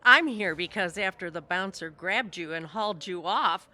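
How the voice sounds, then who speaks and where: A woman's voice: fairly low pitch, expressive, normal speed, bright, forceful tone. A woman acting in an audio comedy as a blunt, unimpressed friend who talks in a teasing, casual way. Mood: wry, skeptical.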